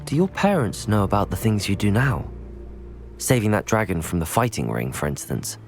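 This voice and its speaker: A man playing a kind, supportive teenage wizard, speaking gently and politely in video game dialogue. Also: fairly low pitch, sing-song, moderate pace, faint music behind. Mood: sympathetic, curious.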